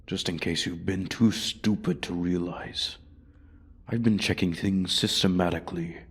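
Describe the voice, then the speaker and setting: Casting: male actor as a menacing dragon for fantasy ASMR roleplay, very low husky voice, slow condescending taunts. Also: exaggerated voice. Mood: cold threatening. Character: menacing male dragon toying with human prey.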